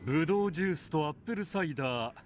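A man's voice: fairly high pitch, animated, very fast, natural voice. Man voicing a polite tavern bartender over noise. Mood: obliging. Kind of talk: anime game dialogue.

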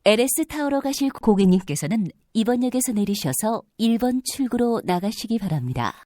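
A woman announcer reading scripted subway station announcements in a formal, clearly enunciated manner. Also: a little high, expressive, moderate pace, mellow. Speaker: train announcer.

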